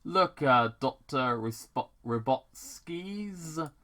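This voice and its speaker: A man's voice: on the high side, animated, very slow, pushed and bright, pitched above the performer's own voice, exaggerated voice. A man voicing a folksy, stubborn small-town homeowner in an amateur fan fiction reading, with a casual, wry manner. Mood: placating.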